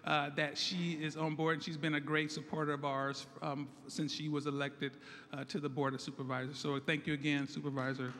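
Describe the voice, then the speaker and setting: A hoarse man from San Francisco, a site director, giving an earnest ceremonial speech that thanks and introduces guests. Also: fairly high pitch, little pitch movement, brisk, a bit bright, a little hiss or hum. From San Francisco, United States. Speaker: site director of a youth college program. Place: large hall at a groundbreaking event. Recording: echoey hall with crowd murmur.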